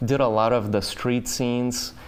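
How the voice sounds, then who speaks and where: A man's voice: mid-range voice, steady tone, a little slow. A middle-aged man from Bulgaria, a painter, telling his story in a casual interview, with many fillers and restarts. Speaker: painter, studio guest.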